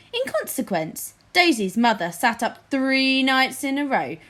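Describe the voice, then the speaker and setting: Woman reading a kids story out loud like a teacher, really animated up and down voice. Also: mid-range voice. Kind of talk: expressive children's story narration.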